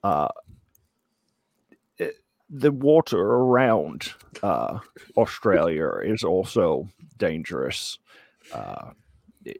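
A man giving a comic mock lecture in a put-on Australian accent, hesitant and deadpan. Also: deep voice, gravelly, no room sound, studio quality. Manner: putting on a joke Australian accent. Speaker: comic presenter giving a slideshow talk. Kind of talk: mock lecture, improvised comedy presentation.

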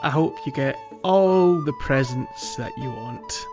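A man's voice: fairly high pitch, takes it slowly, over music. A man co-hosting a podcast, chatting casually. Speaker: podcast co-host. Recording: slightly dull lo-fi microphone.

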